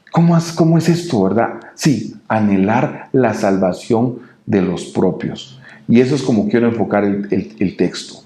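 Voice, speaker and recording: A man with a Guatemalan Spanish accent giving a devotional sermon to listeners in a halting way, often repeating his words. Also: mid-range voice, mellow. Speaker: preacher. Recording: roomy, echoing room sound.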